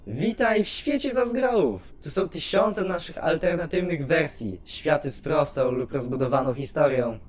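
A man playing an excitable, chatty skeleton artist guardian who is prone to brooding, in an animated fan dub with expressive delivery. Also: fairly high pitch, moderate pace, few pauses, natural voice. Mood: friendly, encouraging.

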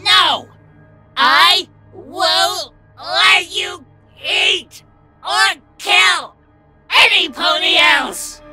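A woman playing a frightened, defiant stallion trapped inside a monster, yelling in a strained, desperate way in an audio story. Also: very high-pitched, very slow, no echo, faint music behind, exaggerated voice. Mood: defiant rage. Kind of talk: dramatic audio story acting.

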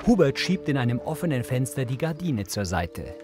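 A man's voice: medium pitch, very animated, moderate pace. A man reading scripted audio description of scenes in the present tense as a narrator. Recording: music bed under the voice. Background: background music.

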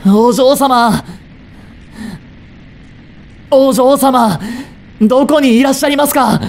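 A man's voice: very high voice, slow, gravelly. A man playing a devoted young butler. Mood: frantic. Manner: soft, gentle and intimate in the bedside scene; shouting and frantic while searching the fire. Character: devoted young male butler, protective and tender. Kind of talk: romantic voice drama acting.

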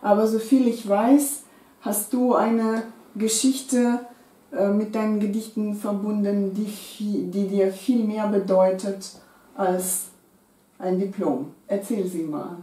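A middle-aged woman interviewer asking measured questions in a living room. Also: medium pitch, steady tone, warm tone. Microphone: far camera. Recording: echoey room from a distance.

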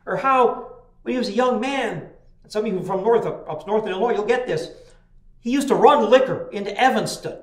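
Older guy pastor giving a folksy sermon, kinda high voice, echoey church room. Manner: folksy storytelling with repeated restarts. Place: small church chapel, indoors.

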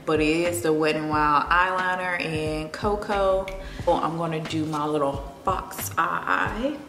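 A young woman with a Memphis drawl talks through her makeup routine in an easy, confiding chat. Her voice sits low, and the room rings with a long echo while music plays behind her. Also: a little slow. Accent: Memphis Southern. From Memphis, Tennessee. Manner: relaxed, confiding, drifting between makeup steps and personal chat. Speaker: beauty vlogger. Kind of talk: chatty get-ready-with-me makeup talk. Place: home room with plants.

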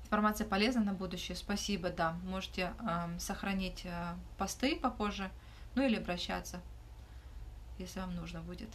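A young woman hosting a livestream Q&A in a small room, talking casually into her phone and often pausing with fillers. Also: lowish voice, fairly bright tone, slight background noise. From Ukraine. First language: not Russian. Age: young adult. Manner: hesitant, frequent "uh" fillers and restarts. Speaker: livestream host answering questions. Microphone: phone held close, front camera.

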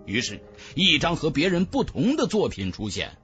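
A man's voice: fairly high pitch, wildly swooping pitch, normal speed, a bit bright, faint music behind. A man narrating a fantasy novel as a scripted audiobook, with emphatic, dramatic storytelling.

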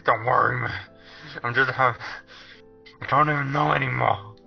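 A man voicing a crude, dim-witted, lecherous teenage schoolboy in an improvised anime parody dub, slangy and halting. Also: medium pitch, steady tone, gravelly, reverberant. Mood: confused. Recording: dull lo-fi audio, music behind.

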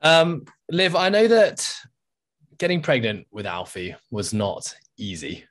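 A man's voice: medium pitch, big pitch swings, slow, fairly bright tone. A young man hosting an interview over a video call on a headset, asking friendly, casual questions. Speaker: podcast host.

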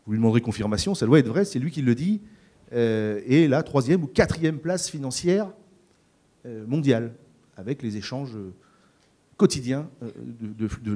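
A man's voice: mid-range voice, warm tone. A middle-aged man from France, a farmer speaking on a conference panel into a handheld mic, hesitant with many fillers and repeated words. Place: conference stage before an audience. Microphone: handheld microphone.

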